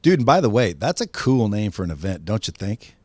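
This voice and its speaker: A man's voice: lowish voice, sing-song, brisk, darkish tone, no echo. A man hosting a casual conversation, eager and playful, calling his guest "dude". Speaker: host.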